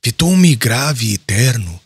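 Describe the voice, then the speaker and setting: Man playing a husky, solemn apostle. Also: medium pitch, very animated, slow, no room sound, exaggerated voice. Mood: solemn, reverent. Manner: solemn, earnest. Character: Simon Peter, devout, compassionate male apostle leading a church. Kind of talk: audiobook dramatized dialogue.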